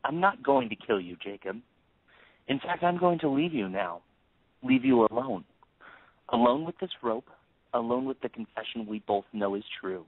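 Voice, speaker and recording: An actor playing a middle-aged, grieving father out for revenge, measured, accusing and menacing in an audio drama. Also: medium pitch, expressive, dry recording, lightly acted. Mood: cold, gloating. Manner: measured, accusing, menacing, dwells on repeated phrases. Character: vengeful grieving father, coldly confronting his oldest friend. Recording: phone-like lo-fi line.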